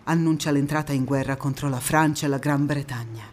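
An actress playing a passionate young Italian antifascist woman, recounting her life in a theatrical monologue with dramatic pauses and emphatic repetitions. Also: a bass voice, steady tone, a little mellow, slight background noise. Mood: fervent, proud. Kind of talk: theatrical stage monologue, first-person storytelling.

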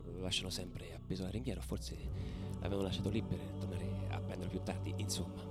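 An actor playing a fervid football ultra who recounts stadium riots in a theatrical radio monologue. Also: male voice, low voice, little pitch movement, fast talker, natural voice. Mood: tense, subdued. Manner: theatrical, swinging between hushed murmur and loud declaiming. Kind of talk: dramatic radio theatre monologue over music. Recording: loud musical backing under the voice. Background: music.

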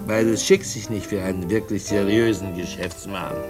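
An actor in a radio drama playing a sly, sinister drug dealer and blackmailer, mockingly polite, smug and cajoling. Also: male voice, on the low side, fairly even intonation, normal speed, music in the background, close to an everyday voice. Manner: smug, cajoling, mock-courteous, chuckling. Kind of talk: mid-century German radio drama acting.

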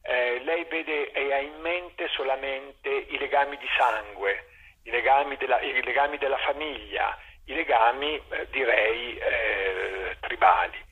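A man's voice: mid-range voice, measured pace, slightly rough. A man speaking as a theatre director interviewed on the radio by telephone, hesitant and searching for words. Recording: muffled, band-limited telephone line.

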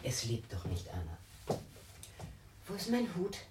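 A woman acting in a radio play as an anxious, weary elderly mother, placating and protesting with a husky, breathy voice. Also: very deep voice, huge pitch swings, slow pace, background noise, exaggerated voice. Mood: weary, hushed. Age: older. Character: anxious, weary elderly mother soothing her troubled daughter.

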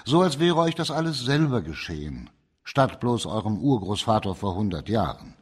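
A man acting as a shrewd, composed magistrate in a radio crime drama, laying out his case in measured, formal German radio-play style. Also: fairly low pitch, big pitch swings, husky. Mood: calm, probing. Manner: measured, methodical, formal, explanatory.

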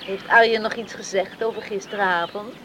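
A woman's voice: mid-range voice, steady tone, normal speed, sharp tone, slight background noise, close to an everyday voice. A woman acting a chatty, good-humoured young village woman in a Dutch radio play. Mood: confiding.

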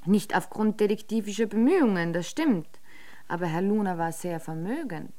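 A detective agency's secretary in a radio play, played by a woman, with a mid-pitched voice. She is cool and deadpan, delivering dark wit in a dry, matter-of-fact way. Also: normal speed, natural voice. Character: coolly witty detective-agency secretary writing crime novels. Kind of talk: radio drama acting.

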